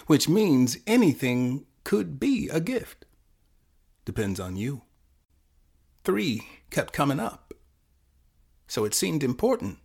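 A man playing a confused, fatalistic teenage boy who narrates his own short story in halting, self-correcting fragments. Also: mid-range voice, gravelly, slightly rough, exaggerated voice. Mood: musing, conflicted. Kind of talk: first-person dramatic short-story performance.

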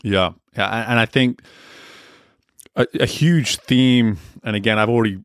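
A man hosting an interview, speaking in a measured, reflective way and adding frequent short affirmations. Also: on the low side, dry recording, studio quality. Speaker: host. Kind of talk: conversational interview.

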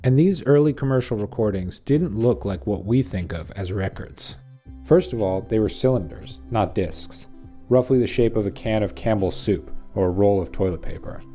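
Male host-narrator, low and mellow tone, dry humor in a scripted read. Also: normal speed, soft background music. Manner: wry, dry asides.

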